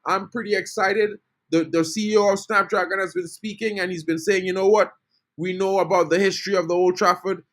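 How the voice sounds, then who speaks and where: A man's voice: sits high, fairly even intonation, fairly quick, a bit bright. A man from Trinidad, hosting a casual live-stream football fan update, chatty and greeting his viewers. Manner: chatty, greets chat viewers, says bro a lot. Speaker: football fan channel host.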